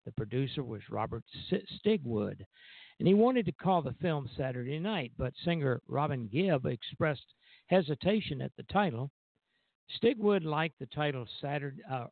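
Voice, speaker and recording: A man's voice: medium pitch, vocal fry, no room sound. A man hosting a music radio show, reading out song history and often stumbling and correcting himself. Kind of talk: music history commentary, partly read from notes. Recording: tinny phone-like narrowband sound, dry.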